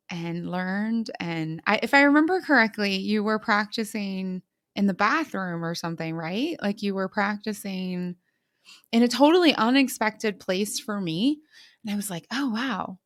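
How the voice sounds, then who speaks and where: A woman's voice: mid-range voice, no echo, clean studio sound. A woman in her thirties hosting a podcast, telling a story with enthusiasm and chatting casually with her guest. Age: 30s. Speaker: podcast host. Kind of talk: casual conversational interview.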